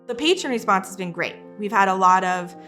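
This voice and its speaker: A woman with a medium-pitched voice speaks in interview style as a theatre staff member. Music plays clearly behind her. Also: lively intonation, a little fast, fairly bright tone. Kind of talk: interview talk.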